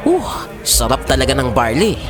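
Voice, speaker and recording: A man's voice: medium pitch, wildly swooping pitch, moderate pace, music in the background. A man playing a widowed father in an audio drama, earnest and placating. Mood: reassuring. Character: widowed father, defensive yet tender with his sweetheart.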